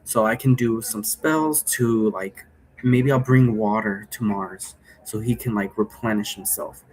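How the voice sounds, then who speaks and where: A man's voice: fairly low pitch, measured pace, darkish tone, breathy edge, poor audio. A man giving a casual, rambling explanation in the manner of a vlogger, often checking in with a questioning tag. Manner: often tags sentences with a questioning "right?". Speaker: vlogger explaining role play rules.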